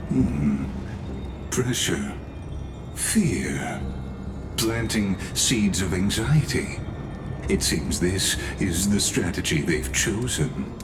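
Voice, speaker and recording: A man voicing a grave, reflective young hero in a video game, with a measured, analytical, stern delivery. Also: sits low, animated, background noise, full character voice. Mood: pensive, somber. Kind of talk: video game voice acting, inner monologue. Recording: loud music behind the voice.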